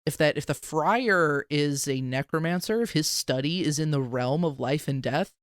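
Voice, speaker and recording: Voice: mid-range voice, normal speed, no room sound, clean studio sound. A podcast co-host talking casually in an informal fan discussion.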